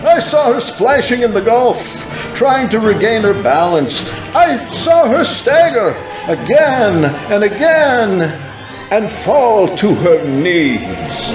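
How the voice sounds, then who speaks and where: A man's voice: high voice, sing-song, takes it slowly. A man giving a solemn, dramatic first-person scripted narration of a religious vision. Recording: echoing, clear music behind. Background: music.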